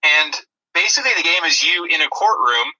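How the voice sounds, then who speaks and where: Very bright, higher-voiced man chatting. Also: expressive, brisk, rough recording. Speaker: podcast co-host. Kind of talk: casual chat about video-game movies.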